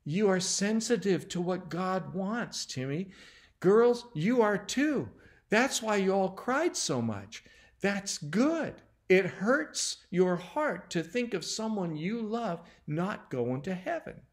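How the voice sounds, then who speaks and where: Storybook mom, read by a man: unhurried, calm, patient, instructive, gently reassuring. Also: high voice, pitched above the performer's own voice, full character voice. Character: calm, reassuring mother teaching her children.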